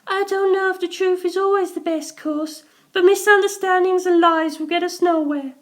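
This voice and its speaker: Narrator putting on a high, gentle ghost girl. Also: fairly even intonation, moderate pace. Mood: reassuring. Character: gentle young woman ghost, soft-hearted, reassuring.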